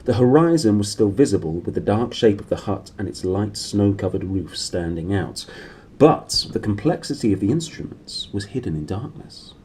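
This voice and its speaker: Man, thirties, low mellow voice, novel reading, clear background noise. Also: lively intonation, normal speed. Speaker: reader. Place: small indoor room. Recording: clearly noisy room recording.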